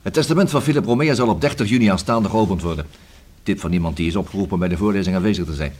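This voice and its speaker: An actor in a Dutch radio play playing a persistent, dryly ironic police inspector, with a husky voice and formal, measured manner. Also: male voice, low voice, darkish tone. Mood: businesslike.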